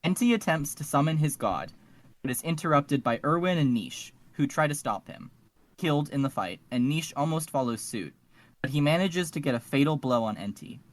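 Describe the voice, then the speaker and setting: A man's voice: a little high, lively intonation, moderate pace. A man co-hosting a podcast, casually discussing and summarizing fiction. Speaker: podcast co-host.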